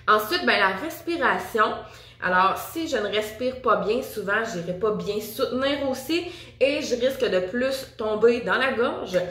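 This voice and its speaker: A woman's voice: fairly high pitch, lively intonation, a little slow, a bit bright, roomy sound. A woman with a Quebec French accent, a singing teacher and vocal coach, giving a chatty, upbeat video lesson straight to the viewer. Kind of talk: casual video lesson to camera.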